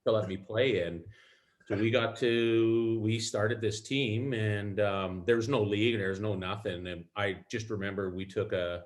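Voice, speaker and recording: Casual male guest, middle-aged, lowish voice, chatty and self-deprecating. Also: steady tone, a little slow. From Alberta, Canada. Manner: rambling, self-deprecating, frequent ums and restarts. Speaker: guest, club player. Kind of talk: casual storytelling interview.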